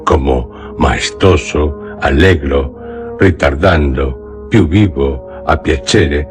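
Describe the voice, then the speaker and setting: A man narrating a literary tale for radio theatre in a solemn, deliberate manner with dramatic pauses. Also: extremely low pitch, music in the background. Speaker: radio storyteller.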